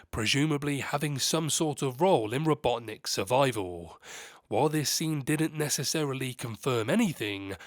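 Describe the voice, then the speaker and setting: A man's voice: mid-range voice, no echo, studio quality. A man presenting a scripted news narration as the host of a movie channel.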